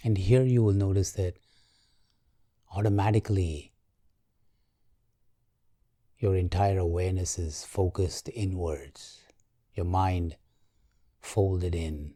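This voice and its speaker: A man guiding a meditation in a calm, gentle, measured way, leaving long pauses. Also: low voice, dry recording, studio quality. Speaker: meditation guide. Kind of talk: guided meditation, slow and soothing.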